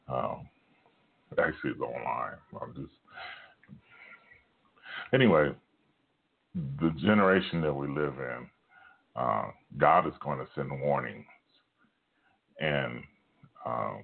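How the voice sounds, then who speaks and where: A man with a very deep, rumbling voice giving an informal sermon at home, talking quietly with many pauses and fillers. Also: gravelly, slightly rough, phone-quality sound. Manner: hushed, halting talk with many fillers. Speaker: preacher. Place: home at night, son in next room.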